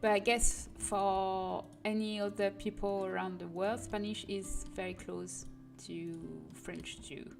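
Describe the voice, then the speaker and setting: A woman whose first language is Portuguese talks casually through a livestream, thinking aloud as she works. Her voice sits at a middling pitch, and she fixes her own words mid-sentence. Faint music and keyboard clicks run underneath. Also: little pitch movement, slow pace, creaky, few pauses. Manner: chatty, thinking aloud, small self-corrections. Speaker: streamer making game content. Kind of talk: casual livestream chat while working.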